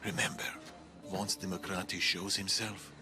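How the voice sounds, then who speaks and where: An actor playing a cautious, serious conspirator in a video game, speaking in a hushed manner. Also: male voice, deep voice, little pitch movement, moderate pace, over music, lightly acted. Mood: wary. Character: cautious, conspiratorial male ally of a mercenary. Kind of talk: dramatic video game acting.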